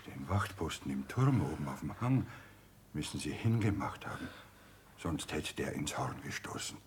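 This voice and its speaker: A man's voice: rumbling low, expressive, moderate pace, a little hiss or hum. An actor playing an anxious middle-aged peasant father, rustic and agitated, in a radio drama. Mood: grim, hushed.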